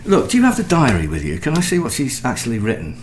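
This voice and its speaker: A man's voice: mid-range voice, huge pitch swings, fast talker, slight background noise. An actor playing an anxious, defensive married man in a naturalistic radio thriller, speaking hesitantly. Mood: defensive, uneasy. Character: anxious, defensive married man, expectant father and new homeowner.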